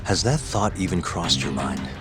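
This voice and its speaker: A man's voice: low-pitched, very animated, normal speed, music in the background. A man playing a cool, persistent lawyer investigator in a video game cutscene, probing in a dry, understated way. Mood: probing, firm.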